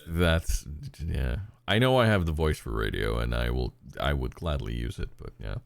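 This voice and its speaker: A man co-hosting a casual podcast chat, animated and acting out quotes in loud, high voices. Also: moderate pace, creaky, no room sound, clean studio sound. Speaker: podcast co-host.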